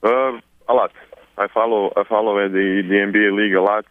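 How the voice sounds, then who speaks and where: A young man from Serbia with a Serbian accent, answering reporters on a conference call, halting and repeating words with many fillers. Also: on the low side, fairly even intonation, no echo. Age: 20s. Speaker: newly drafted basketball player. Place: at home, far from the press. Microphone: telephone. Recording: tinny dry phone line.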